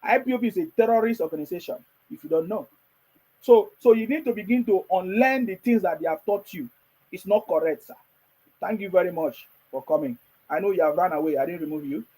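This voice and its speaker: Male Nigerian call-in host, high voice with a husky edge, moderating political talk. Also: brisk, warm tone, rough recording. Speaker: host of a live call-in discussion.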